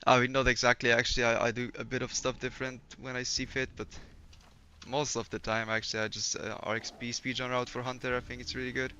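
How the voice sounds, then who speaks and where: A man's voice: mid-range voice, flat delivery, fast, pushed and bright, slight background noise. A young man from Poland streaming a game, chatting casually with viewers, laid-back and swearing often. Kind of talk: casual live-stream chat while gaming. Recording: slightly dull lo-fi stream audio.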